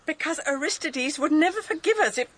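Stern, guarded older British aunt. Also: female voice, sits high, expressive, a bit bright. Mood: uneasy, guarded. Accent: British English. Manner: brisk, no-nonsense. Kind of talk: radio drama acting.

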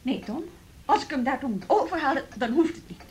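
An actress playing a proud, wounded young woman in a radio drama, speaking haltingly and with indignant emphasis. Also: high-pitched, expressive, a little mellow. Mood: defiant, exasperated. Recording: noisy radio play recording.

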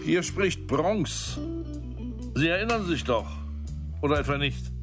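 Plucked guitar music plays clearly behind a slick private investigator in a radio play. His voice is somewhat high for a man. He is deliberate and insinuating, with a false politeness that carries mild menace. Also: animated. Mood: insinuating, threatening. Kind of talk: radio drama monologue.

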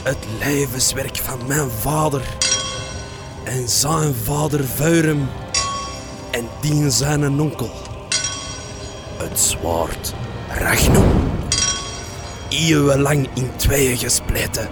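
A solemn, portentous heir in a gothic radio comedy. He speaks at a mid pitch and extremely slowly, grandly weighing each phrase, with loud music all around him. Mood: solemn, triumphant.

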